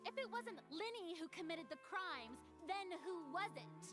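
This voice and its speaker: Smug theatrical young lady in an anime game, high voice, pompous, music playing behind. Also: lively intonation, a little slow. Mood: haughty, challenging. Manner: pompous, dramatic. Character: theatrical, haughty young woman, smug courtroom challenger.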